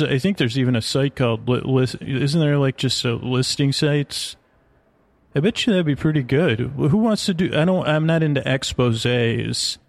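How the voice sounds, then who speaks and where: Male sleep podcast host, gravelly fry, close dry sound, meandering and self-interrupting bedtime rambler. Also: medium pitch, darkish tone, no room sound. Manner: meandering, hesitant, self-interrupting, trailing off into tangents.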